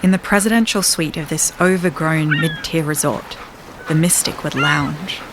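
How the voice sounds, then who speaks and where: A woman narrating a scripted audio fable in a measured, wryly ornate storybook manner. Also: low voice, noisy background, close to an everyday voice. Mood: wry storytelling. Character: wry, grandiose storybook narrator of an island fable.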